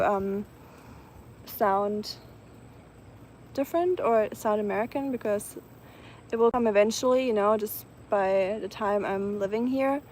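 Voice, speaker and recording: A woman from Germany with a German accent, chatting casually and softly outdoors, with many ums. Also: on the high side, slight background noise. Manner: soft-spoken, chatty, many ums, laughs lightly. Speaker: vlogger. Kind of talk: casual live chat outdoors. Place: outdoors in the evening, nature sounds around.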